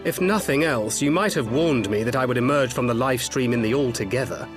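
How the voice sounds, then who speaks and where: An actor playing a roguish, witty adventurer in a fantasy game, with theatrical, self-mocking and refined phrasing. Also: male voice, medium pitch, expressive, a little fast, lightly acted. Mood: wry, storytelling. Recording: music behind the voice.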